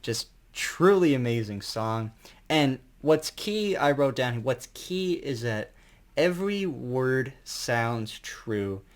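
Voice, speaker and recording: A man's voice: medium pitch, animated, takes it slowly. A man giving a casual, unscripted album review as an enthusiastic fan, rambling and colloquial, with some mild swearing.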